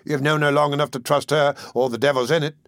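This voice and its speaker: A man voicing a surly, short-tempered burglar in an audiobook, blunt and scornful, with rough slang. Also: on the high side, steady tone, quick pace, a bit bright, no echo, clean studio sound, pitched above the performer's own voice. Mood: irritated. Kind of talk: audiobook character voice.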